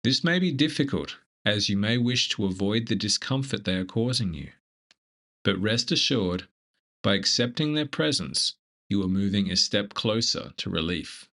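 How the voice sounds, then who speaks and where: A man leading a scripted guided meditation in a gentle, measured, instructive manner. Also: on the low side, animated.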